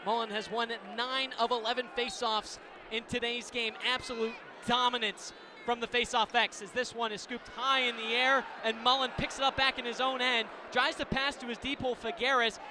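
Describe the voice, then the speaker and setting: Male sports play-by-play, very high register, short live-action phrases, noisy outdoor broadcast. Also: moderate pace, a crowd in the background. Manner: clipped present-tense phrases, rising to excited calls. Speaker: play-by-play radio announcer. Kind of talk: live lacrosse radio commentary. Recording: noisy outdoor stadium ambience.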